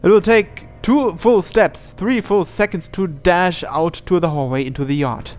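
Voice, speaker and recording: A male gaming host reads a visual novel aloud. His voice sits on the high side and his delivery is dramatic narration. Game music runs faintly behind him. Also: unhurried. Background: background music from the game.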